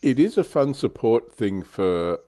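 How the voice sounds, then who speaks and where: A man's voice: fairly low pitch, very animated, unhurried, dark tone, no echo. A man co-hosting a casual livestream about tabletop wargaming, explaining things in a conversational way. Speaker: livestream co-host.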